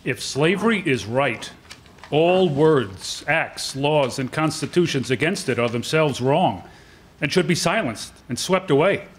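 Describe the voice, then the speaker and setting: A man's voice: a little high, animated, a little slow, slight background noise. An older man playing a principled, resolute statesman delivering a political oration in a staged radio-play reading. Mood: earnest, resolute. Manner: rhetorical, oratorical. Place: indoor hall with seated audience. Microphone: lectern microphone.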